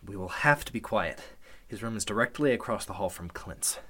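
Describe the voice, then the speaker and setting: A man's voice: fairly low pitch, animated, brisk, background noise, lightly acted. An actor playing a suspicious, take-charge man in a golden-age style radio mystery, with an urgent, earnest delivery. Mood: urgent, puzzled.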